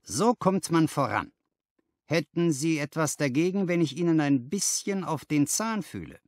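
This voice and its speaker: A man acts out a brisk financial agent in a detective audiobook. He is self-assured and full of flattery, with an emphatic, lively delivery that often jumps higher. The sound is close and dry. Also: dry recording, close to an everyday voice. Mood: enthusiastic. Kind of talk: dramatized audiobook dialogue.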